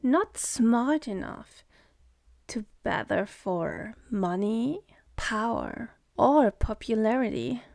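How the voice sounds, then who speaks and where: A woman's voice: on the high side, gravelly, a little mellow, no echo, full character voice. A woman voicing a sardonic, seductive demon in an ASMR roleplay, mocking and contemptuous, speaking close to the mic. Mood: scornful.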